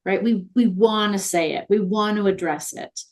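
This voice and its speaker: A woman's voice: mid-range voice, moderate pace. A woman guest in an interview, talking in a chatty, upbeat way with many fillers. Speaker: guest consultant on virtual assistants. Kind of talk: conversational interview.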